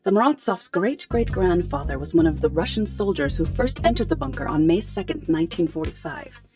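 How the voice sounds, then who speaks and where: An actress playing a poised, articulate professor in a radio drama, explaining things in a measured, polite way. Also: female voice, lowish voice, big pitch swings, over music. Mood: explanatory. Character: poised, articulate female professor hiring a detective.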